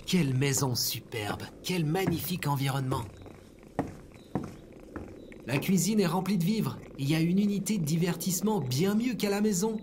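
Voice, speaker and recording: An excitable, optimistic male adventurer, played by a man in a comic audio drama. His pitch leaps about with enthusiasm and exclamation, over clearly audible background noise. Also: moderate pace, natural voice. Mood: enthusiastic. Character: upbeat, excitable male last survivor on Earth. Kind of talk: scripted comedic audio fiction acting.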